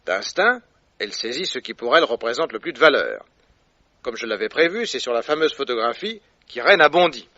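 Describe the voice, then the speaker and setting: Radio detective: self-assured, shrewd, theatrical, sharp-toned and lively, muffled old broadcast sound. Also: male voice, mid-range voice, brisk, no echo, exaggerated voice. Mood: confident, triumphant. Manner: theatrical, explanatory, confident. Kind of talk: French radio drama acting. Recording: dull, dry old radio recording.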